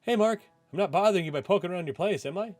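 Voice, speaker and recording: Man voicing a lifted-pitch, eager young woman. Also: animated, rapid-fire, soft background music. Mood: curious, teasing. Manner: eager, softly insistent, apologetic. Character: cheerful, caring young woman, shy but forward.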